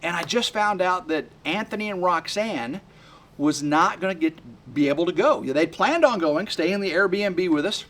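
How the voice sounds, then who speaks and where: Man, mid-fifties, homestead vlogger. Lively, rambling, a bit high. Outdoor delivery. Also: normal speed. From West Tennessee. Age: 50s. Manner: rambling and excitable, many fillers and restarts. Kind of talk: rambling casual vlog to camera. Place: outdoors by a work shed on a sunny day.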